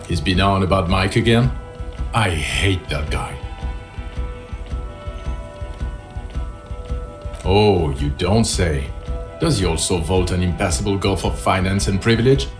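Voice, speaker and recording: An actor playing a jaded, foul-mouthed police officer with a blunt, dry, sardonic delivery in a video game, over music. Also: male voice, sits low, animated, normal speed.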